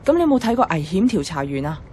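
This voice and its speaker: A woman's voice: medium pitch, very animated, quick pace, dark tone, a little hiss or hum, close to an everyday voice. A woman playing a feisty, blunt young office worker who loves comics, in a Hong Kong Cantonese radio drama. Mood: keen, casual. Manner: teasing, blunt.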